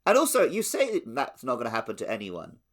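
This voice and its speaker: A man's voice: mid-range voice, wildly swooping pitch, fast. A man chatting informally and animatedly as a podcast host. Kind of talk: casual podcast chat.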